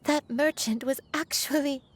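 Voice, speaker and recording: An actress playing a gentle, regretful young woman in a game, speaking softly and earnestly. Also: high-pitched, fairly even intonation, normal speed, slight background noise, natural voice. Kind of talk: dramatic game voice acting.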